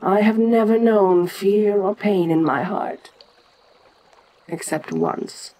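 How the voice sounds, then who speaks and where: A woman's voice: fairly low pitch, dark tone, full character voice, rough recording. A woman playing an ancient giant kaiju queen in an audio roleplay, proud and curious, with a domineering, stately manner. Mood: proud, menacing. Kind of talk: dramatic audio roleplay addressed to the listener.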